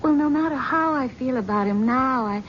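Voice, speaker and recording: Woman as middle-aged wife, anxious, halting, pleading, vintage radio drama, dull sound. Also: high voice, a little hiss or hum, lightly acted. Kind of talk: 1970s radio drama acting.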